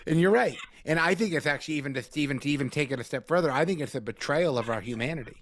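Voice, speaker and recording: A man's voice: on the high side, racing, a bit bright, no echo. A man who teaches meditation, answering questions in a conversational way, often repeating words and saying "like.". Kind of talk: casual teaching and Q&A.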